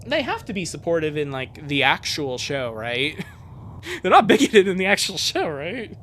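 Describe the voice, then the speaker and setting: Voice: mid-range voice, huge pitch swings, measured pace, fairly bright tone, slight background noise. A streamer in their twenties reading fan fiction aloud on a live stream, jokey and often breaking off into asides. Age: 20s. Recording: music behind the voice. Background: music.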